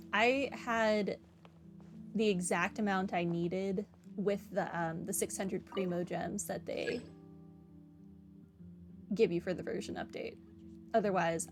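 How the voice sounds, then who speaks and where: A woman giving casual, chatty commentary on her video game playthrough, with wry asides. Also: mid-range voice. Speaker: gaming streamer, let's player.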